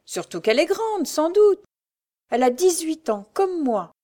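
A woman's voice: high-pitched, big pitch swings, a little slow, dark tone, pitched above the performer's own voice. A woman voicing a coarse, scheming old beggar woman with a brusque, colloquial manner in a storybook reading. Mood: falsely comforting.

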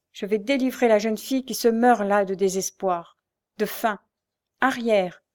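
A woman's voice: on the high side, breathy edge. A woman voicing a timid young knife-grinder who pleads with his mother. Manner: pleading, trembling.

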